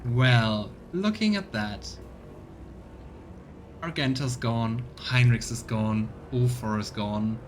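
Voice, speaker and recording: A man's voice: medium pitch, slow pace, soft background music. A man giving casual let's play commentary and reading game text aloud. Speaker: streamer. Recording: clear background noise.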